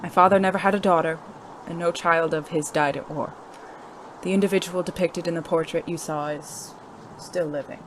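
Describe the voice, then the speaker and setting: A woman's voice: on the low side, steady tone, moderate pace, a little hiss or hum, lightly acted, rough recording. A woman playing a cool, secretive spy who lives as a man in a noir audio drama, formal and wry. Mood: guarded, confessional.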